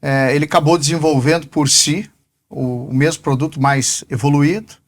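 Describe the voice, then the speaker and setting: A man in his forties talks through his answers as a podcast guest, taking his time and leaning on small filler pauses. His voice sits a little higher than most men's. The delivery is relaxed and conversational. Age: 40s. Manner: unhurried, often pausing on fillers. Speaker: podcast guest, company sales director. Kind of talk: casual interview answers.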